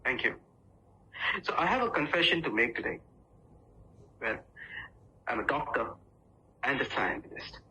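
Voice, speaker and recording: Bright-voiced man giving a theatrical storytelling speech. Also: mid-range voice, lively intonation, slight background noise, low-quality recording. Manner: theatrical, with dramatic pauses and emphasis. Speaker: contest speaker. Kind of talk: rehearsed humorous storytelling speech.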